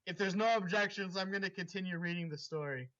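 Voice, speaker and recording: A man's voice: high-pitched, fast, a bit bright, a little hiss or hum. A man reading a story aloud to friends in a casual way, over a dull lo-fi voice chat. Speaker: story reader.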